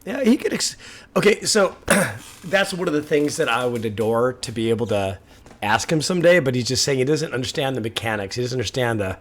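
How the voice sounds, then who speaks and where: Male reaction host, casual and chatty, slightly raspy from a lingering sore throat. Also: medium pitch, expressive, slight background noise. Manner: chatty, laughs often, pauses the track to comment.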